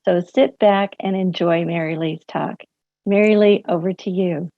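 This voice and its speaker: A woman hosting a library event, reading a scripted introduction of a guest speaker at a measured, deliberate pace. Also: fairly low pitch, steady tone, creaky, no echo. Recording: dry, muffled lo-fi audio.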